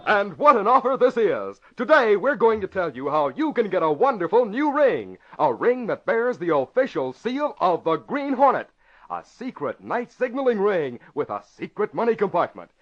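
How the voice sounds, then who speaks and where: A man's voice: high-pitched, sing-song, normal speed. A man announcing and narrating in a dramatic old-time radio style. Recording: muffled, noisy vintage radio broadcast.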